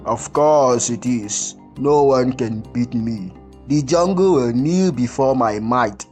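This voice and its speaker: Narrator as mighty boastful bull elephant for a folktale: pompous, lowered pitch. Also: slow, a little mellow, soft background music, lightly acted.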